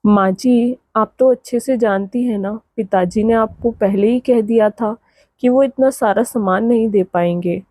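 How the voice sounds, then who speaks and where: A woman's voice: fairly high pitch, measured pace, a little mellow, no room sound. A woman voicing a meek, polite young village daughter-in-law in a cartoon moral story. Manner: polite, deferential.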